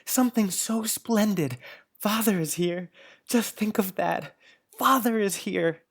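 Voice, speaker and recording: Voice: medium pitch, unhurried, a bit bright. A performer playing an earnest, affectionate little boy with precise, old-fashioned politeness in a dramatized audiobook. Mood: excited. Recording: music under the voice.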